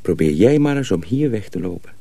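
Simple, good-natured mill kitchen hand; dark, very creaky voice, resigned delivery, radio play. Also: male voice, fairly low pitch, sing-song, brisk, dry recording, full character voice. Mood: cautioning. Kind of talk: radio drama dialogue.

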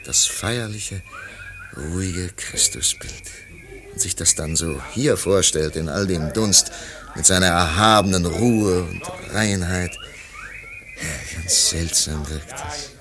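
A man playing a reflective, genteel married gentleman in a German radio play, speaking in a musing, halting, sentimental way. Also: deep voice, animated, exaggerated voice. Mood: wistful. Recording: crowd noise behind the voice. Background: crowd noise.

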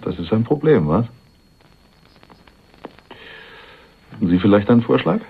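Casting: cunning, self-assured male schemer in crime radio play, raspy low voice, muffled recording. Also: animated, fast, full character voice. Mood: confident, scheming. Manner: sly, insinuating, self-assured. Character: cunning, cynical man offering himself as hired killer. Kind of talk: 1980s German radio crime drama.

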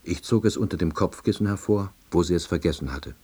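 A melancholy, jobless husband in a radio drama. His voice is very low and slightly husky, and he narrates in short, deadpan diary sentences full of dry self-pity. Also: a little fast. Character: lonely, melancholy, laid-off East German husband, drily self-pitying. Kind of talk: scripted first-person narration.

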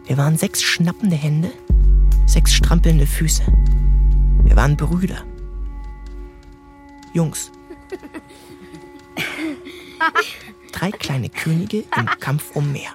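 An actor playing a grown son recalling his wild boyhood, narrating a literary radio play in measured, incantatory short phrases. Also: a little high, a little mellow. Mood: urgent, vivid. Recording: music behind the voice.

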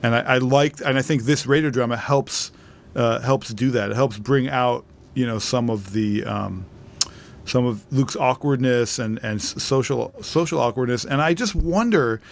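A man co-hosting a podcast, discussing casually in a reflective, halting way and often repeating words. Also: medium pitch, creaky. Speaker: podcast co-host.

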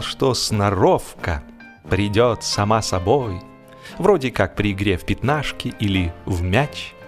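A man narrating a humorous story expressively, theatrically, with deliberate pauses and stretched words. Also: fairly low pitch.

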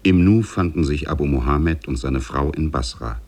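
An actor playing a grave, measured storyteller narrating an Arabian Nights tale in a 1950s German radio play. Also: male voice, rumbling low, darkish tone. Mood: solemn.

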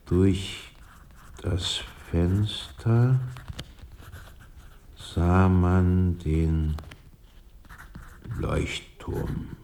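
A man's voice: low voice, mellow, slight background noise, heavily acted. An actor playing a brooding writer who narrates his memories reflectively in a radio drama. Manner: measured, reflective. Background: music.

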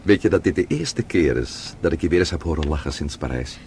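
An actor in a radio drama playing a worried, courteous husband, hesitant and polite, with a very husky voice. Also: male voice, a bass voice, lively intonation, fairly quick, a little mellow, a little hiss or hum. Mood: tender, attentive. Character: worried, courteous husband, deferential to his father-in-law.

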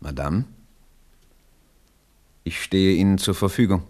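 An actor playing a deferential but blunt royal finance minister in a radio drama, speaking formally and courteously. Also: male voice, low voice, takes it slowly, a little mellow. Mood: apologetic, restrained. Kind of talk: 1970s German radio drama acting.

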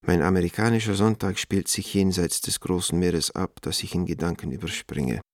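An actor playing a warm, nostalgic middle-aged art dealer reading out his letters in a radio play, with expressive, articulate delivery. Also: low-pitched, moderate pace, dry recording, clean studio sound, natural voice. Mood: lonely, nostalgic.

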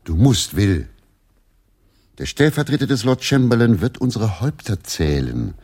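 A sensible English stage player with dry humour. His voice is lowish, soft-toned and a little raspy. He keeps things matter-of-fact, in radio play style. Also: sing-song, moderate pace. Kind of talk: German radio play acting.

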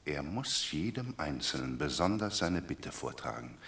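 A shrewd Roman commoner with a very deep voice that rises and falls a great deal. He reasons in a wry, talkative way, as in an old radio play. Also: sing-song, a little slow, creaky, a bit bright, heavily acted. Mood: wry reasoning. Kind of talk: classic stage radio drama.

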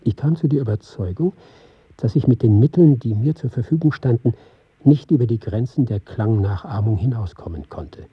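An actor playing an earnest, reflective film pioneer, giving a measured, formal radio drama monologue in a husky voice. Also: male voice, mid-range voice, lively intonation, no echo, lo-fi sound. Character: earnest, reflective male film pioneer recounting his experiments. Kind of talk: scripted radio drama monologue, read as lecture.

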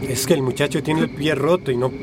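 A man's voice: a little high, steady tone, a little fast, a loud crowd, natural voice. An actor playing a wary, poor migrant man, hesitant and evasive, in a radio drama. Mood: nervous, protective. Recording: loud ambient noise.

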